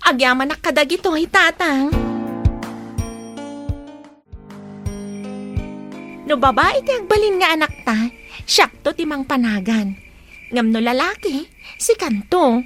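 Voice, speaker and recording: High-voiced anxious young wife played by an actress over music. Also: female voice, animated, normal speed, fairly bright tone. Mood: gentle. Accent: Filipino. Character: young pregnant wife, caring, anxious and suspicious. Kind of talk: Ilocano radio drama acting. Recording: music behind the dialogue.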